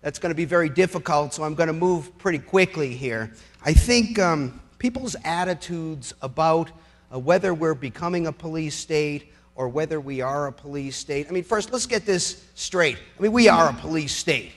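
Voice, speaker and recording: A man's voice: a little high, moderate pace. A middle-aged American man giving a persuasive public speech as a lawyer, emphatic and rhetorical with a sardonic edge.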